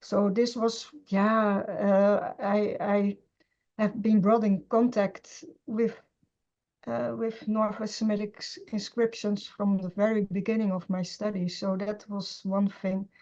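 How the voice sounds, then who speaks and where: A middle-aged woman, a scholar of Semitic languages, speaking in an interview with many pauses, fillers and restarts. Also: medium pitch, flat delivery, a little mellow. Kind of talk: conversational interview. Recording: dull lo-fi sound.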